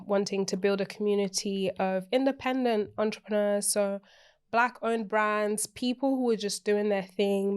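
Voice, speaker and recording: A woman from Portugal, a guest co-founder, speaking in a thoughtful, measured way. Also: medium pitch, darkish tone, clear, resonant tone. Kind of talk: podcast interview.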